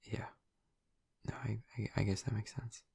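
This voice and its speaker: Husky, low-voiced gentle vampire boyfriend, played by a man. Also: little pitch movement, no echo, exaggerated voice. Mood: caring, attentive. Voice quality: husky, breathy, creaky. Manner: soft, halting, breathy, tentative. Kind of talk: intimate audio roleplay, speaking softly to the listener. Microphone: close, dry microphone.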